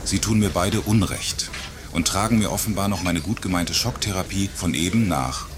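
A man's voice: sits low, normal speed, close to an everyday voice. A man playing a smug, self-pitying department store salesman in a satirical radio play, speaking in pompous, formal wording. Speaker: actor in an audio drama. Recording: clear background ambient noise.

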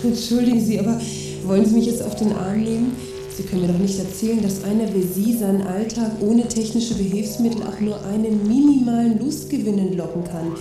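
A woman's voice: mid-range voice, a little fast, close to an everyday voice. A woman playing a pushy, scornful gadget seller in a satirical radio play, insistent and condescending. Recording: very echoey, distant, music behind.

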